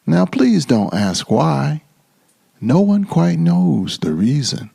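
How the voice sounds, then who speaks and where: Slow, animated male storyteller with creaky voice. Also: on the high side. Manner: theatrical, drawn-out emphasis and repetition. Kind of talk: dramatic children's storybook reading.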